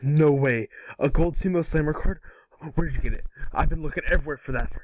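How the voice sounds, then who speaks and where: A man's voice: fairly high pitch, fairly quick, a little mellow, no room sound, close to an everyday voice, low-quality recording. A man playing a cocky, boastful boy superhero made of fire in an amateur cartoon table read. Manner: boastful, wisecracking.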